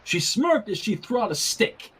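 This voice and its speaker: High-pitched, very animated male story reader. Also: normal speed, slightly rough. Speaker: reader of fan fiction. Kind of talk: animated story reading with casual asides.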